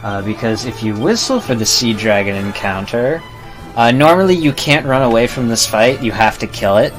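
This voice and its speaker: A man's voice: medium pitch, lively intonation, moderate pace. A man giving casual, laid-back gameplay commentary with dry asides, as a live streamer playing a video game. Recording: game music behind the voice. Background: video game music.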